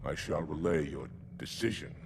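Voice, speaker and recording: An actor playing a large, proud alien warrior commander in a video game, speaking in a grave, clipped, formal manner. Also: male voice, very deep voice, normal speed, vocal fry, noisy background. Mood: stern, indignant. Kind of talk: dramatic video game acting.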